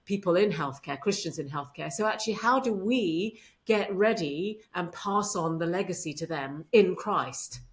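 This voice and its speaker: A woman's voice: fairly low pitch, lively intonation, normal speed, few pauses. A middle-aged woman speaking earnestly as a guest in a podcast interview, with frequent affirmations. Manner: earnest, warm faith talk with frequent affirmations. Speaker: podcast guest, medical leader. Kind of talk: conversational interview.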